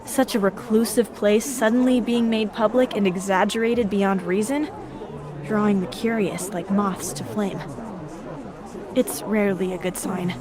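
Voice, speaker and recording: An actress playing a guarded, earnest young wandering heroine in a video game. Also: female voice, mid-range voice, fairly even intonation, unhurried, close to an everyday voice. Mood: serious, reasoning. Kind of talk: dramatic video game dialogue. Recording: music and crowd noise behind. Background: music, crowd.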